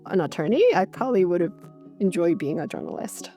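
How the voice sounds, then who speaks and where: A middle-aged woman speaks in a slightly low register, answering interview questions in a conversational, candid way. She sounds reflective, like an executive talking through experience, with music playing underneath. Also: animated, quick pace, vocal fry, warm tone. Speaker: interview guest, corporate legal executive.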